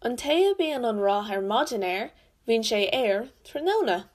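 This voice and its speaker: A woman storyteller with an Irish accent, reading out proverbs in a deliberate, measured recitation with pauses. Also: a little high, very animated, a little mellow. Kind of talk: proverbs read aloud in Irish and English.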